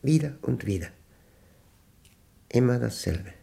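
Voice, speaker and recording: Older male for radio drama monologue: solitary brooder, dark mellow tone, husky, halting and deliberate. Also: medium pitch, expressive, full character voice. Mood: rapt, contemplative. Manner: halting, deliberate, introspective phrasing. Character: aging, solitary man brooding over a humming machine.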